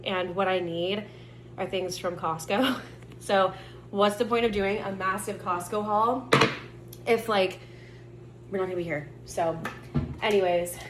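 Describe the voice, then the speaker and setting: A woman's voice: mid-range voice, measured pace, pauses often, heavy background noise. A young woman talking casually to camera at home, chatty and upbeat, a bit nasal from a cold. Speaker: vlogger. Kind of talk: casual vlog talk to camera.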